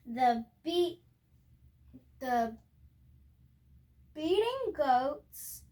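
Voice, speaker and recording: A young girl reading a picture book aloud expressively, with halting moments and chatty asides to her viewers. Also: high-pitched, very animated, dark tone. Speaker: storybook reader.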